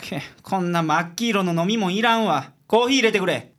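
A woman voicing a gruff, grumbling male detective with a Kansai accent in a radio drama. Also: fairly low pitch, fairly quick, close to an everyday voice. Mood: grumpy. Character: grumpy lazy male detective, middle-aged. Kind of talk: community radio drama acting.

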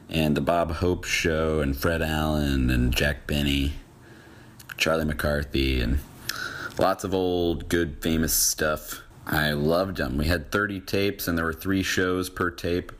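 Deep-voiced, slow-talking man as guest. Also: fairly bright tone, slight background noise. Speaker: podcast guest. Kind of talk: casual reminiscing chat.

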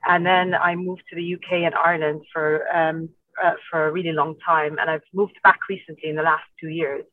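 A woman's voice: low voice, level tone, brisk, dry recording. Female doctor, interview guest, explanatory and conversational, from Iraq; tinny telephone sound, off-mic. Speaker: doctor, obstetrician and gynecologist guest. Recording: muffled phone-like call, distant from mic.

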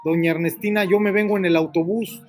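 Un hombre de mediana edad con acento mexicano y voz bastante aguda conduce un programa de radio en vivo. Habla con seriedad, da vueltas y repite sus frases. Se oye una música suave de fondo. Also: male voice, sits high, fairly even intonation, fairly quick, soft background music. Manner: earnest, rambling and repetitive. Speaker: radio host. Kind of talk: live radio call-in talk.

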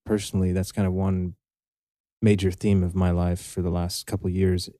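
A young man co-hosting a podcast, speaking thoughtfully and hesitantly, with a soft manner. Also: low-pitched, level tone, normal speed, dry recording, studio quality. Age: young adult. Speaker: podcast co-host. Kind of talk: casual chat.

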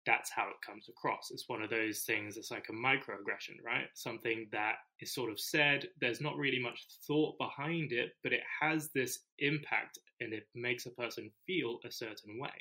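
A man from the UK co-hosting an education podcast, explaining his points in a measured, thoughtful way. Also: mid-range voice, sharp tone, rough recording. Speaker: podcast co-host, teacher. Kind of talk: casual conversation.

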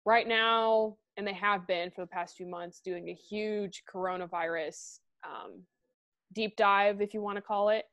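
A young woman from the Midwest, co-hosting a podcast in excitable, chatty talk that slips into casual swearing. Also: mid-range voice, measured pace, no room sound, lo-fi sound. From American Midwest. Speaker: podcast co-host. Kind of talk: casual chat.

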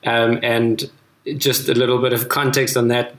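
A man's voice: mid-range voice, flat delivery, moderate pace, fairly bright tone. Earnest Afrikaans-speaking man, echoey room. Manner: earnest, thoughtful, frequent restarts. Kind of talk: reflective casual discussion.

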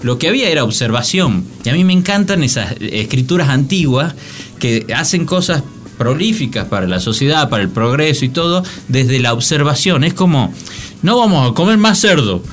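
A man's voice: mid-range voice, big pitch swings, normal speed, soft background music. A man with an Argentine accent hosting a casual, joking radio chat, telling things like stories and acting out imagined dialogue. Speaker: radio show host. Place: radio studio.

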